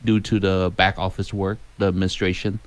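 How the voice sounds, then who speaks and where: A friendly man talks as a first-time radio guest, hesitant and full of pauses and restarts. His voice is low and smooth, with a resonant clarity. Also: flat delivery, dry recording. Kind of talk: casual interview talk. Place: radio studio.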